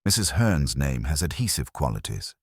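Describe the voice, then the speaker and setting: A man's voice: very deep voice, big pitch swings, moderate pace, breathy edge, dry recording, studio quality, full character voice. A man voicing an agreeable, dutiful junior policeman who reports drolly and matter-of-factly in a mystery story. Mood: dutiful, droll.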